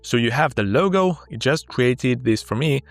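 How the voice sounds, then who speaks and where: Upbeat gravelly man, native Finnish speaker. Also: medium pitch, animated, moderate pace, dry recording. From Finland. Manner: upbeat, explains step by step. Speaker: tech tutorial host. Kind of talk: sponsored software tutorial.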